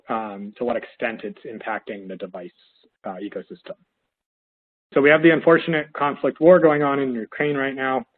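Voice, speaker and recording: Hoarse male analyst on a tinny line. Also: medium pitch. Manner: steady, measured, with frequent fillers. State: hoarse from a head cold. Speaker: industry analyst presenting a webinar. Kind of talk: presenting a business webinar. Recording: tinny, phone-like audio.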